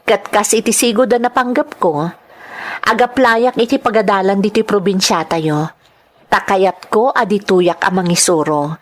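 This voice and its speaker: An actress playing a dutiful, respectful young daughter and new teacher in an Ilocano radio drama, speaking politely with a Filipino accent. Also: female voice, mid-range voice, normal speed, close to an everyday voice. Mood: earnest, glad. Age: young adult.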